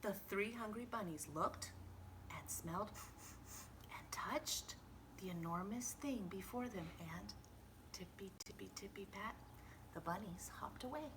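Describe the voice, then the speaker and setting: A woman reading a picture book aloud like a teacher to young children, expressive and dramatic, with hushed sound words. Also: medium pitch, a little slow, long pauses, background noise.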